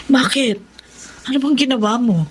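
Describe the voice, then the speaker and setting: A woman's voice: high voice, normal speed, slight background noise, lightly acted, poor audio. An actress playing a caring middle-aged mother in a Filipino radio drama, solicitous and probing.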